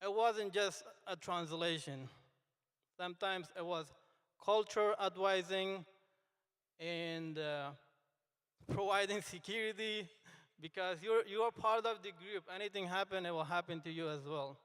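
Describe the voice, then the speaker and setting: High, slow man speaking in an echoing hall. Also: fairly bright tone, clear, resonant tone. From Afghanistan. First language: Dari. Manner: earnest, halting, with restarts. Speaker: commencement speaker. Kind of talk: speech to graduates. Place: large hall. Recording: echoey hall, long reverb.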